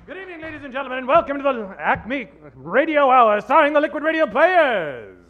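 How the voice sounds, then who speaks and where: A man's voice: very high voice, very animated, fairly quick, narrow, tinny audio. A man hosting live improv comedy on a theater stage, talking like a theatrical, mock-formal 1940s radio showman. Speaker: stage MC warming up audience.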